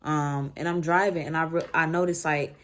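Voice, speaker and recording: Casting: American woman vlogger, low voice, loose slangy storytime delivery with animated asides. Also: normal speed. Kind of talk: casual storytime chat to camera.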